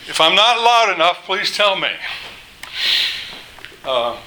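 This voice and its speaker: An older man preaching a sermon, reading scripture aloud with emphatic, drawn-out phrasing and a loud voice. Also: sits high, sing-song, noisy background. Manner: slow, emphatic delivery, rises when quoting scripture.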